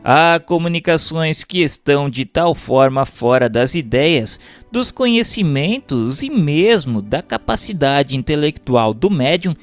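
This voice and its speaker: A Brazilian man reads a formal text as a scripted narration, in a measured and carefully phrased manner. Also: fairly high pitch, lively intonation, faint music behind. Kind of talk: scripted audiobook-style reading of a doctrinal text.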